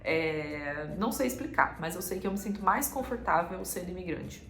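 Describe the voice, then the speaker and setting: A woman's voice: fairly low pitch, expressive, normal speed, a bit bright, slight background noise. Brazilian woman, Brazilian Portuguese accent, casual vlog chat, chatty with filler tags, echoey room. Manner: chatty, frequent filler tags, sponsor read mixed in. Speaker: vlogger.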